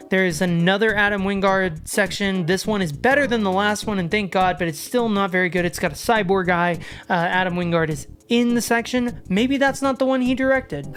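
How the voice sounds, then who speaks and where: A woman losing her voice, giving casual, joking commentary on horror films and swearing freely. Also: medium pitch. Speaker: horror video essayist.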